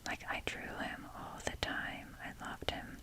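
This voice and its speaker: A woman whispering in a breathy voice as she rambles casually and wryly in an informal, fannish ASMR style. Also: a little slow. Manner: informal, fannish, wry, mildly sweary. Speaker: ASMR video creator showing a toy collection.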